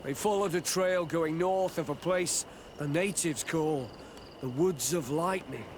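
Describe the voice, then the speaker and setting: A man's voice: fairly high pitch, a little slow, a little hiss or hum, natural voice. A man voicing a dutiful, worried military officer of a besieged outpost in a video game, earnest in manner. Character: worried male outpost officer, dutiful soldier under siege. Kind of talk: video game cutscene acting.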